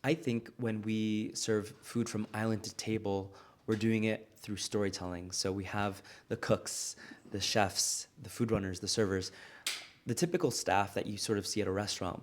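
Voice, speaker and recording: American guy being interviewed, low voice, earnest and reflective, storytelling. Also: flat delivery, normal speed. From United States. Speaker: guest, restaurant founder. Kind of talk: conversational interview.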